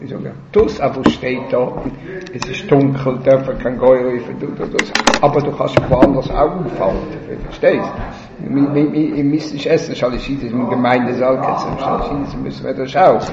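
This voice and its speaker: A man leading a religious text study discussion, repeating words in stammering bursts and reciting passages rapidly. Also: medium pitch, gravelly. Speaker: study session leader. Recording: roomy, dull recording with people around.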